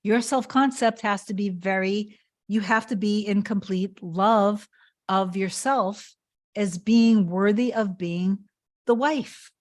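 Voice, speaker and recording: A woman's voice: medium pitch, steady tone, slow. A woman coaching on a live stream, answering chat comments in an earnest, emphatic way and often repeating herself. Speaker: manifestation coach.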